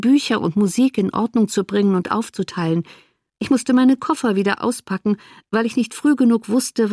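A woman voicing a kindly, sensible younger sister with a gentle, composed, reasoning manner in an audiobook. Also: mid-range voice, no room sound, studio quality, natural voice. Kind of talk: audiobook character dialogue.

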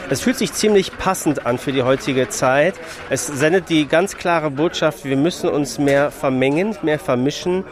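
A man's voice: on the high side, moderate pace, noisy background. A man, a musician, giving an interview outdoors. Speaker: percussionist.

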